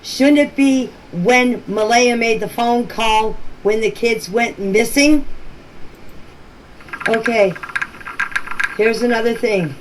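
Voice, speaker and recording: A woman's voice: a little high, slow, long pauses. A middle-aged or older woman speaking plainly and insistently in informal voice messages, often repeating herself. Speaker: local resident answering questions in a group chat. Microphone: voice messages recorded for a group chat. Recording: noisy amateur voice-message clips.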